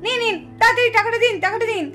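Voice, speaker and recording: Woman playing a shrill, stubborn, teasing young woman. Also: steady tone, racing, sharp tone. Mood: bossy. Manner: insistent, scolding, emphatic. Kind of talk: animated puppet-show dialogue. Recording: music behind the voice. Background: music.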